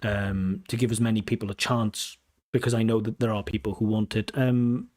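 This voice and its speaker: A man's voice: fairly low pitch, flat delivery, normal speed, darkish tone. A man from Wales with a Welsh accent, an examiner hosting a casual livestream Q&A, talking in a chatty, self-assured way with many ums and restarts. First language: English. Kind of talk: casual livestream Q&A, reading viewer comments.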